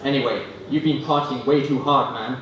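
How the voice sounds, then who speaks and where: Deadpan man doing stand-up in an echoey room. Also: medium pitch, normal speed, recorded from a distance. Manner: hesitant, self-deprecating between readings. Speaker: stand-up comedian reading own mock novels. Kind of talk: stand-up comedy, deadpan reading of parody prose. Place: student union comedy stand-up event, indoors. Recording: roomy, noisy live room recording from afar. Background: audience laughter, room noise.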